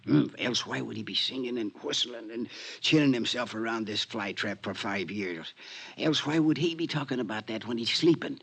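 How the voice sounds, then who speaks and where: A man's voice: medium pitch, moderate pace, fairly bright tone. An actor playing an ailing, short-winded old male convict, eager and pleading, talking in conspiratorial street slang in a 1950s radio drama. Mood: conspiratorial, excited. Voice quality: raspy, breathy, gasping. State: weak, coughing, short of breath.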